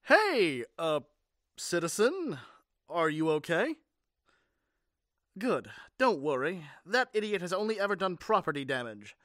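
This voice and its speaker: Guy playing young hero in asmr roleplay, kinda high voice, casual n earnest, a bit cocky, close dry sound. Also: big pitch swings, measured pace, pauses often, fairly bright tone, no echo, heavily acted. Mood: concerned. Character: caring, cocky young male superhero. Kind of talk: amateur ASMR audio roleplay.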